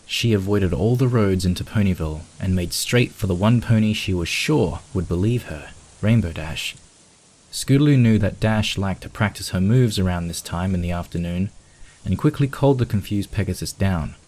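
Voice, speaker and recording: A man's voice: sits low, moderate pace, darkish tone. A man reading scripted story narration as the narrator of a piece of fan fiction.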